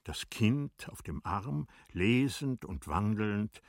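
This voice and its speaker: A man reading scripted literary narration as a narrator, with measured, deliberate phrasing. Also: on the low side, sing-song, no echo, clean studio sound.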